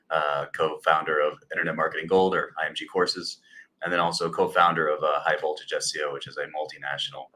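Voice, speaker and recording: A man answering as a guest in a webinar, relaxed and conversational. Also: sits low. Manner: relaxed, conversational with "you know". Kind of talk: casual expert answers.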